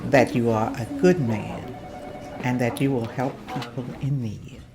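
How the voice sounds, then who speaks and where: A woman's voice: a bass voice, steady tone, measured pace, music in the background. A woman reading the part of a polite, determined secretary of a church order at a script table read, in an earnest, formal manner.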